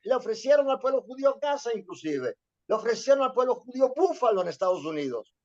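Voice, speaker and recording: A man's voice: very high-pitched, big pitch swings, fast talker, a little mellow. A man from Venezuela giving a history lecture, often checking in with listeners and repeating himself as he searches for words. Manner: frequent questioning "¿sí?" and repetitions. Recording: dull lo-fi sound.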